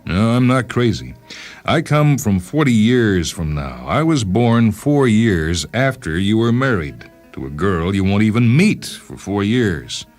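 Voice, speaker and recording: A man's voice: fairly low pitch, very animated, a little slow, darkish tone, pitched above the performer's own voice. Man acting aggrieved thirty-something son, voice pushed up. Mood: agitated, aggrieved. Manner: exasperated, self-justifying. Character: aggrieved, unhappy 32-year-old man confronting his father. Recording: music bed behind the voice.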